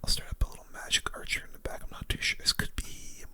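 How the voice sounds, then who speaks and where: A person giving casual, slangy, mildly grumbling gaming commentary in a breathy ASMR whisper. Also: fast talker. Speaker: gaming video host.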